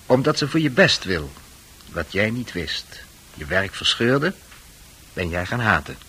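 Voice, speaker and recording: An actor playing a composed, authoritative psychiatrist explaining things to a patient in a radio play, with a husky voice. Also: male voice, fairly low pitch, big pitch swings, pushed and bright, heavily acted, low-quality recording. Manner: explanatory, measured.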